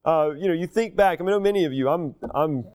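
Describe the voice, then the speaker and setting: A man in his thirties preaching a sermon, reading scripture aloud and explaining it with quick asides. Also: fairly high pitch, darkish tone, smooth. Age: 30s. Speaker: pastor.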